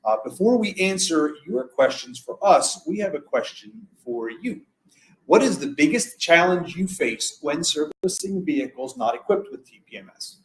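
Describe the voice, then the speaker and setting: A man's voice: a little high, very animated, normal speed, low-quality recording. A man presenting product guidance in a conversational, instructional style as an expert in a webinar.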